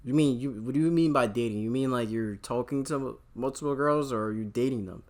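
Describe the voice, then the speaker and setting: Man, reaction host, teasing, rapid joking commentary. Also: medium pitch, a little mellow. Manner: teasing, rapid-fire banter.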